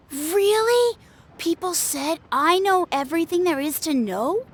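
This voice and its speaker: Eager high-voiced little boy. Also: expressive, slow pace, full character voice. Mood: excited, proud. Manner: childlike, bouncy, self-important. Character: eager, boastful little boy playing martial arts master. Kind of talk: scripted video game voice acting.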